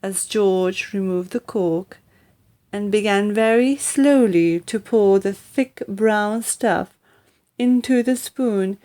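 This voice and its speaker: A woman reads a children's story as an audiobook narrator. Her pace is very unhurried and her pitch sits in the middle, with deliberate, dramatic phrasing. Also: warm tone. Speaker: audiobook reader. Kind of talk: expressive scripted storybook narration.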